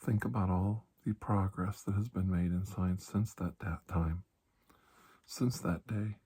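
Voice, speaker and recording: A man playing a calm, reassuring doctor in an ASMR roleplay, speaking softly and breathily, often close to a whisper, with a measured, halting delivery. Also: sits low, heavily acted. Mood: solemn, hushed. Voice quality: soft, breathy, husky, often near whisper. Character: calm, reassuring male doctor treating a patient. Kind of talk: ASMR roleplay, hushed storytelling.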